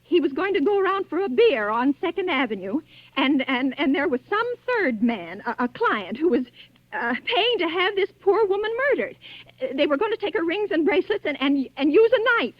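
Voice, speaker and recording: A woman's voice: high voice, sing-song, normal speed, no echo. An actress playing an anxious, haughty bedridden wife in an old radio suspense drama, stammering and flustered as she makes demands. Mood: agitated, frightened. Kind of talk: 1940s radio suspense acting. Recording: muffled vintage AM radio recording.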